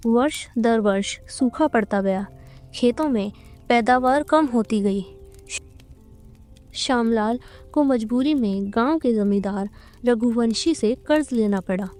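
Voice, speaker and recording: Mellow, higher-pitched woman storyteller. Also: takes it slowly, faint music behind. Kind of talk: scripted narration of a moral story.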